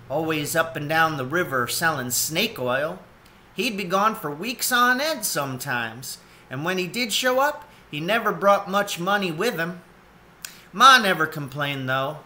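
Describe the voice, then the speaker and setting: Young otter boy for a storybook man reading: determined, wistful, slow-paced, forward bright tone. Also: fairly high pitch, voice pushed up, full character voice.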